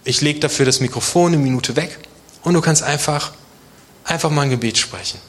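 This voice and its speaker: A man's voice: a little high, a little fast, a bit bright. A man from Germany preaching to a congregation in a casual, conversational way, often posing rhetorical questions. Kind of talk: conversational sermon addressing a congregation.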